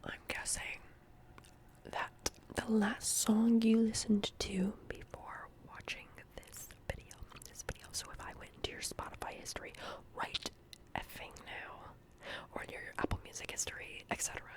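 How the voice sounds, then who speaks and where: This is a woman hosting an ASMR guessing game. She speaks almost entirely in a breathy whisper with hardly any voicing. She often repeats words and breaks syllables into little stuttered echoes for effect. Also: a little high, little pitch movement, moderate pace. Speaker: ASMR host.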